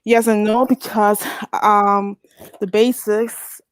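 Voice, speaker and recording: A woman's voice: medium pitch, little pitch movement, takes it slowly, clear, resonant tone, no room sound, rough recording. A woman from the Dominican Republic, a guest in a heated casual debate, who talks with animation, laughs mid-sentence and restarts words.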